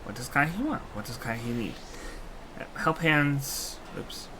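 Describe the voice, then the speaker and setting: A man's voice: medium pitch, unhurried, bright, forceful tone, a little hiss or hum. A man voicing a small, eager creature helper who talks about itself by name in broken third-person speech, over game music. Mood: earnest. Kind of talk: fan voicing of game dialogue.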